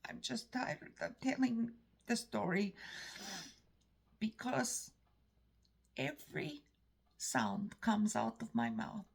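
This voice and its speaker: A woman with an Eastern European-sounding accent tells a story to camera in a rambling, halting way. Her voice is gravelly and husky, and she speaks very slowly. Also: a little high. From Canada. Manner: rambling, halting, frequent restarts. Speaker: vlogger. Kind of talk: casual storytelling monologue.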